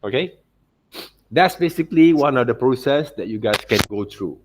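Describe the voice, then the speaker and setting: A man narrating an informal art software tutorial as a teacher, in loosely phrased non-native English, often checking in with short tag words. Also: medium pitch, animated, normal speed, background noise. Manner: repeats check words like okay and right.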